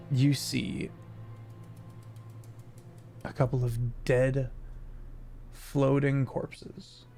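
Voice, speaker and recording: A man running a tabletop game as game master, his voice slightly gravelly and unhurried. He rambles through the scene and laughs often, with faint music underneath. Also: medium pitch.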